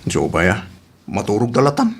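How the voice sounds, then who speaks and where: A man plays an older village father in an Ilocano comedy radio drama. His voice is mid-pitched, easygoing and a little grumbling, with an Ilocano Filipino accent. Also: slightly rough, exaggerated voice.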